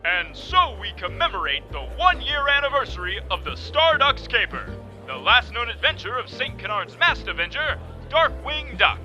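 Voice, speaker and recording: A man plays a slick cartoon newscaster with a very high, piercingly bright voice. He delivers the report in polished announcer style, with lively swings of pitch. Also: normal speed, faint music behind, heavily acted, rough recording. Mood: smug, upbeat. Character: slick male cartoon TV news anchor. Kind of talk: news report parody.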